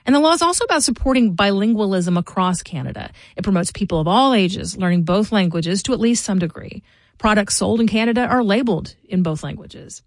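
A middle-aged woman hosting a local news podcast, reading scripted commentary in a measured, informative way. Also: medium pitch, expressive, no room sound, studio quality. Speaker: podcast host.

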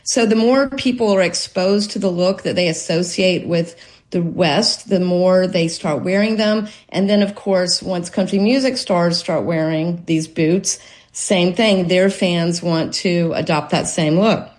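A woman's voice: lowish voice, measured pace, darkish tone, low-quality recording. A woman explaining history as a radio guest. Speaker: guest commentator.

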